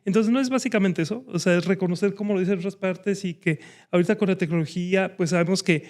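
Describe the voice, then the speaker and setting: A man's voice: high-pitched, fast talker, a little mellow. Chatty Mexican man hosting a podcast. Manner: chatty, laughs easily, tells anecdotes. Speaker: podcast host. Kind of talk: casual chat.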